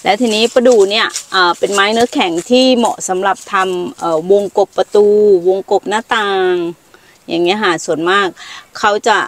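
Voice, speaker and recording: Middle-aged woman explaining trees outdoors. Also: mid-range voice. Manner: unhurried, explanatory, hesitant fillers. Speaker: farm owner showing trees. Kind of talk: casual guided walk explaining trees. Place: outdoors on a farm among trees.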